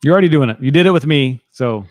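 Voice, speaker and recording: A man of middle pitch hosts a casual talk show in a dry, close-miked sound. He speaks earnestly and encouragingly, letting his sentences run on and double back. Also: lively intonation, fast talker, a little mellow, no room sound. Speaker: podcast host. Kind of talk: casual conversational interview.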